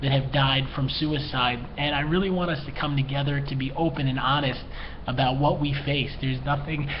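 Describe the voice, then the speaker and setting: A man with a fairly even, mid-pitched voice talks earnestly and from the heart, sounding emotional and a little tearful. He speaks straight to the viewer as an educator offering support. The recording is muffled and lo-fi, with faint noise behind him. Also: moderate pace. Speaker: mental health educator, therapist and coach. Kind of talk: earnest personal talk to camera.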